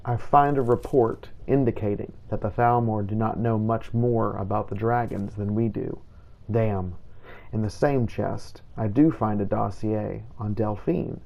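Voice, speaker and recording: A man narrating video game play in character, in a formal, measured storytelling manner. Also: on the low side, fairly even intonation, vocal fry, muffled recording. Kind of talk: scripted first-person game narration. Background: game music.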